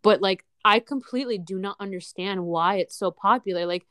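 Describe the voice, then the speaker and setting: A woman's voice: mid-range voice, fairly quick, fairly bright tone, no room sound, studio quality. A woman co-hosting a casual podcast chat, talking bluntly and filling her sentences with "like". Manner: blunt, peppered with "like". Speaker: podcast co-host.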